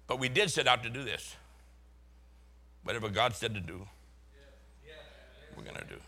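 A man's voice: medium pitch, moderate pace, pushed and bright. An older man from Boston with a Boston accent, a pastor preaching a sermon full of folksy, chuckling stories.

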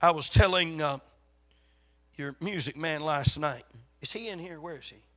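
High-voiced Southern preacher, slightly raspy. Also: male voice, bright, forceful tone. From South Carolina, USA. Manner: folksy, emphatic storytelling with dramatic pauses. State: getting over a cold. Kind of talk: sermon to a congregation.

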